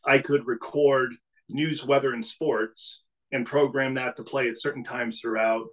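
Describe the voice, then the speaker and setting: A middle-aged man from New England, a business CEO talking casually as a podcast guest, telling stories in a relaxed way with frequent restarts. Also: medium pitch, measured pace, poor audio. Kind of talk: casual interview conversation.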